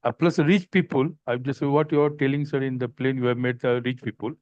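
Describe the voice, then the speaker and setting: A man's voice: medium pitch, fairly even intonation, brisk, a little mellow, no echo. Deferential man on a muffled call. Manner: deferential, says sir often. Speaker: participant asking questions. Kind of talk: online spiritual Q&A. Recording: dry, muffled video-call audio.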